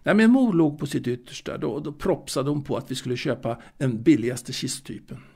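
Man playing a lonely, creaky-voiced ageing waiter. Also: medium pitch, big pitch swings, a little mellow, full character voice. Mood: resigned, fussy. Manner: halting, reflective, fussy, with repetitions and pauses. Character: lonely, melancholy ageing waiter reminiscing about his late mother. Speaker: audio drama actor. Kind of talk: intimate dramatic monologue.

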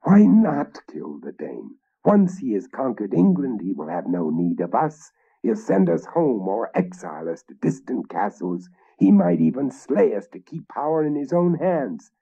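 A man's voice: medium pitch, sing-song, a little slow, gravelly, hardly any pauses, mellow, breathy edge, pitched above the performer's own voice, exaggerated voice. A man voicing a fierce, treacherous knight who speaks in a scornful, whispered hiss, in a dull lo-fi recording. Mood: scheming.

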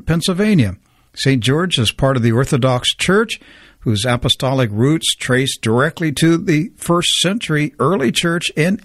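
A man's voice: on the high side, no room sound, clean studio sound. A man hosting a talk radio show, reading a scripted intro and then interviewing with earnest, stumbling restarts.

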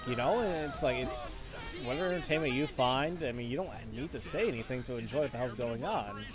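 A man's voice: medium pitch, expressive, brisk. A young man streaming a game, chatting casually with viewers, rambling with many restarts and blunt opinions. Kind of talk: casual game commentary with chat replies. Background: game music and sound effects.

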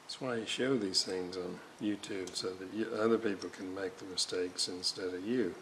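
A man's voice: sits low, fairly even intonation, slight background noise. A man talking through a radio repair at his workbench, muttering to himself as he works. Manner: slow, muttering to himself while working. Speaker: radio restorer. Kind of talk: hands-on repair walkthrough. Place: workbench indoors.

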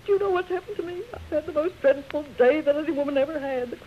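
A woman's voice: high voice, fast talker, lightly acted. A woman playing a fussy, self-absorbed society hostess and mother in a 1930s radio drama, theatrical and imperious. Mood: exasperated, frantic. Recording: muffled, hissy 1930s radio recording.

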